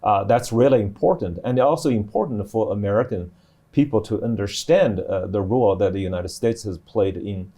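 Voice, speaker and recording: A middle-aged man explaining things on a panel as a professor, in a halting way with many restarts. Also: on the low side, dark tone. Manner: halting, hesitant delivery with repeated restarts. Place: TV studio.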